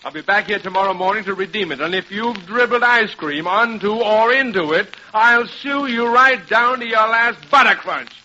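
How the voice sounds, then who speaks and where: Pompous, animated professor, old radio. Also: male voice, fairly high pitch, normal speed, dull audio. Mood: indignant. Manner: pompous, haughty, elaborate wording. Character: pompous, indignant middle-aged male English professor. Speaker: lead actor in a radio comedy. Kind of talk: old-time radio sitcom acting. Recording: noisy, band-limited vintage radio recording.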